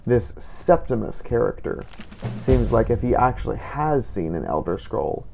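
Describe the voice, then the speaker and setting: Casting: male gamer-narrator with a gravelly, mid-pitched voice, recounting a roleplay playthrough as a measured story. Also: big pitch swings, mellow, faint music behind. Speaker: video game player and narrator.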